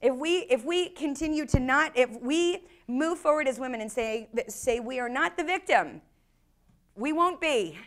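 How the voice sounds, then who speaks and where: Blunt, animated American woman. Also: high voice, normal speed. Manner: blunt, profane, emphatic. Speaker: improv teacher, guest. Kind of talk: casual podcast interview.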